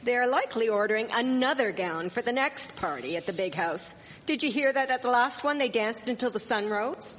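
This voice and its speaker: A woman playing a sharp-witted, proper 1870s townswoman with a wry manner in a live radio drama. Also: a little high, animated, moderate pace, a little hiss or hum. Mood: gossipy. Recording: echoey hall, live stage recording.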